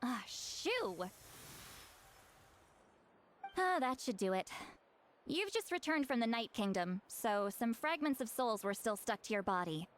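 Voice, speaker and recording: A woman's voice: a little high, very animated, normal speed, slight background noise. Solemn young shaman woman. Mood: brisk, reassuring. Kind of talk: scripted video game voice acting.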